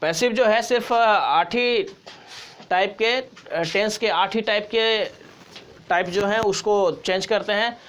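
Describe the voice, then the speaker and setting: Male English grammar teacher, Indian accent, unhurried step-by-step delivery, instructional, repeats the important points. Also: sits high, fairly bright tone, clear, resonant tone. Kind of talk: step-by-step tutorial explanation.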